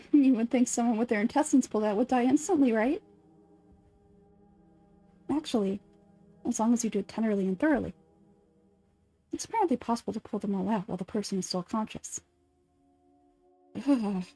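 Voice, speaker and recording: A woman voicing a calm adult nurse in an anime fan dub, speaking in a soft, measured, lecturing way as she dwells on gruesome details. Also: on the high side, soft background music. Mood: macabre, relishing. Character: calm adult female nurse relishing grisly history.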